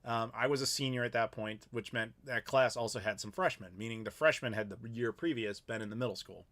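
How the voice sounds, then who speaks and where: Thirties male host, casual and rambling, reads out viewer comments in a middle-pitched voice. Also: fairly quick, a bit bright. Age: 30s. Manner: rambling, chatty, reads viewer messages aloud. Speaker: YouTuber host. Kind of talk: casual live Q&A chat.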